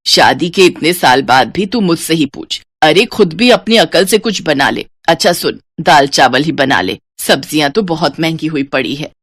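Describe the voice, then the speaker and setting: A nagging older mother-in-law played by a woman in a family story cartoon. She speaks low and close in a dry recording, scolding and taunting with grumbling sarcasm. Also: lively intonation, normal speed, hardly any pauses, a bit bright, no echo. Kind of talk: Hindi moral story cartoon voice acting.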